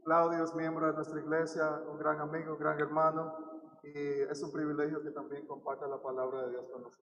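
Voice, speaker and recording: A man serving as pastor, welcoming the congregation, introducing the speaker and praying in a steady, formal way. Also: on the high side, fairly quick, echoey room, in a large hall, muffled recording, slight background noise. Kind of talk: church announcements and prayer.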